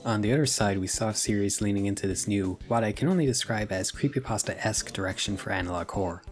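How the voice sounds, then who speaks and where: A man's voice: lowish voice, fast talker, soft background music. A man narrating a scripted video essay in an informal, blunt way, with casual opinionated commentary and wry asides.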